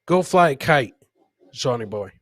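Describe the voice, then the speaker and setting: A man's voice: mid-range voice, expressive, unhurried, a bit bright, a little hiss or hum. A man hosting a comedy podcast with casual, jokey live commentary, often repeating phrases for effect. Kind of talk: casual comic live-stream commentary, reading chat comments aloud.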